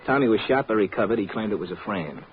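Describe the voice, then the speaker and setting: A man's voice: on the low side, animated, quick pace, close to an everyday voice. An actor playing a jovial, folksy, slangy newsman informant in an old radio drama, breezy and teasing. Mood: matter-of-fact. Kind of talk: 1940s-50s radio drama acting. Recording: muffled, noisy old radio recording.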